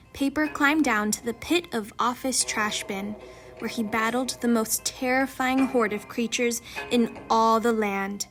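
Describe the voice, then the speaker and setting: A woman's voice: on the high side, a bit bright. A woman reading a children's storybook aloud in a dramatic, mock-epic manner. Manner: theatrical, mock-epic delivery. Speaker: children's picture book narrator.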